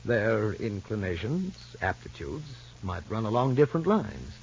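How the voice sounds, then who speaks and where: Measured, professional psychologist for vintage soap; deep-leaning, deliberate, on a muffled, slightly noisy recording. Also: male voice, sing-song. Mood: calm, probing. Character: measured, authoritative male child psychologist, calmly probing. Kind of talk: 1950s soap opera radio acting. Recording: muffled vintage broadcast with faint noise.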